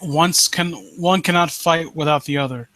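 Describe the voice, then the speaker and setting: A man plays a vengeful brother hungry for the throne in a dramatic story reading. The delivery is grim and deliberate, set low against his usual talk, with a bright edge and a very dry, close sound. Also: fairly even intonation, no echo. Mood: grim resolve. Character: vengeful ambitious brother plotting to seize the throne.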